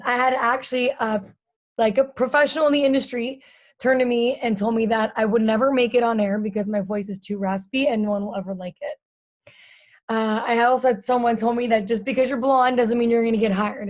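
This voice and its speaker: A young woman with a raspy, fairly high voice talks through a relaxed podcast interview. She chats openly and candidly, stringing her thoughts together with plenty of "like"s. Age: 20s. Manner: chatty and candid, fast run-on sentences full of "like". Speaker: guest, stadium and social media host.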